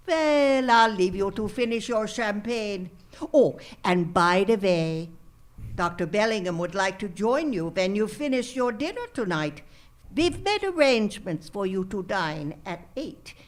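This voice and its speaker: A woman's voice: mid-range voice, lively intonation, a little slow, lightly acted. A woman playing a prim, politely ominous hotel hostess in a live radio comedy. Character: formal, morbidly prying married woman hotel hostess.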